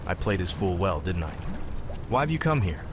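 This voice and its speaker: A man's voice: deep voice, sing-song, normal speed, very noisy. A man playing a defiant, wary human wizard who speaks bluntly and questioningly in a fantasy game cutscene. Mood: probing. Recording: music behind voice. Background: music.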